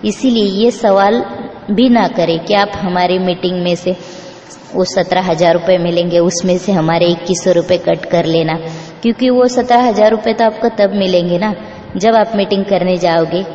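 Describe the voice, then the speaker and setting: Echoey, low-pitched woman giving firm instructions. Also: moderate pace, dark tone. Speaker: recruiter reading a job pitch. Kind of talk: scripted explanatory voice message. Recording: echoey, reverberant, muffled, noisy playback.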